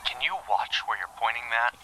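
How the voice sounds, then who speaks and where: A man's voice: medium pitch, moderate pace, bright, forceful tone. A man playing an obedient, businesslike pony soldier in an analog horror drama, with short replies through a radio filter. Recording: noisy telephone-like radio transmission.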